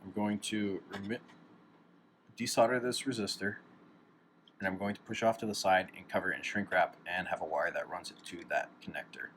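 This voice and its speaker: A man's voice: low voice, fairly even intonation, a little fast, a bit bright. A man casually talking through hands-on DIY work as he goes, thinking aloud in an informal way. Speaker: DIY electronics hobbyist. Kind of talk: casual hands-on narration while working. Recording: clearly noisy hands-on workshop recording.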